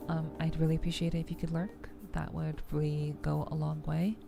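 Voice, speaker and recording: A woman hosting a soft-spoken ASMR game livestream, chatting to viewers and explaining things in a gentle, rambling way. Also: sits low, little pitch movement, fairly quick, gravelly. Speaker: streamer, host of a weekly live show. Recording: music playing behind the voice. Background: background music.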